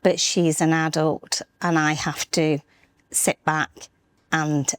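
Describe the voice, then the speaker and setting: Low-voiced woman from North Wales, earnest. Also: level tone, smooth, dry recording. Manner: earnest, halting, restarts mid-sentence. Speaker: interview guest, estate agency director. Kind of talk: candid personal storytelling.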